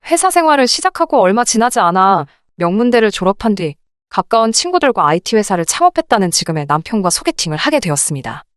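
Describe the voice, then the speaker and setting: A woman's voice: fairly high pitch, smooth, no room sound, studio quality. A woman narrating a scripted first-person story in a polite, confiding storytelling manner. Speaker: narrator of a radio-drama story channel.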